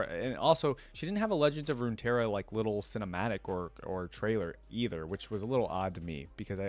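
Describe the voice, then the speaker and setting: A man's voice: medium pitch, no echo. A man giving casual, off-the-cuff reaction commentary as a video host.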